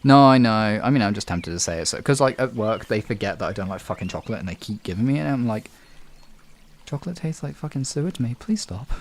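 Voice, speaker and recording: A man's voice: fairly low pitch, expressive, quick pace. A man hosting a livestream, chatting casually and swearing freely. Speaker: livestream host.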